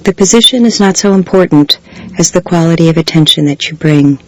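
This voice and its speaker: A woman guiding a body scan meditation in a slow, measured way, leaving long pauses between phrases. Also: on the low side, poor audio. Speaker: meditation guide. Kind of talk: guided body scan meditation, scripted soothing instruction.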